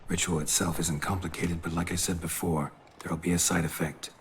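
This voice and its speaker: A man playing a stoic, weary monster hunter in a video game, gravelly and terse, with a deadpan, clipped delivery. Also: sits low, brisk, slight background noise. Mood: grave, matter-of-fact. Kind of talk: video game cutscene acting. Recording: background music under the voice.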